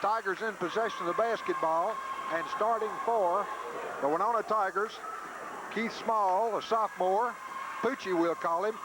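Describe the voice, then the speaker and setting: A man calling live basketball play-by-play on local radio in clipped, punchy phrases. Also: sits high. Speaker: radio play-by-play sports announcer. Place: crowded high school gym. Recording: noisy 1980s VHS-transferred local radio broadcast. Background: loud gym noise.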